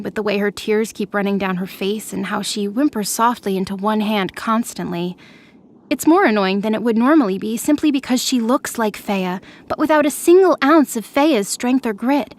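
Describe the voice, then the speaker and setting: A woman narrator reading scripted, dramatic fiction for an audio drama. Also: mid-range voice.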